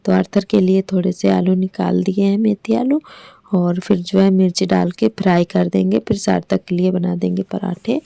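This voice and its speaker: Hoarse woman vlogger in her thirties, Hindi accent, gravelly, flat delivery, dry close sound. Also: medium pitch, moderate pace, no room sound. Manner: rambling, with many fillers. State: hoarse from a sore throat. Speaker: village vlogger narrating her day. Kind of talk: casual voice-over narration.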